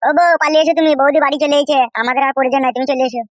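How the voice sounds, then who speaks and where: Man voicing a high, rapid defiant cartoon young man. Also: steady tone, dry recording. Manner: argumentative, crude, insistent. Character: defiant, whiny young nephew with runaway wife. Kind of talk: comic cartoon dialogue.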